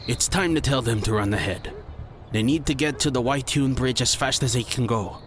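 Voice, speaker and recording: A man voicing a calm, solemn male ninja leader in a fantasy story, measured and authoritative. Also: mid-range voice, slight background noise, pitched above the performer's own voice, natural voice. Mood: commanding.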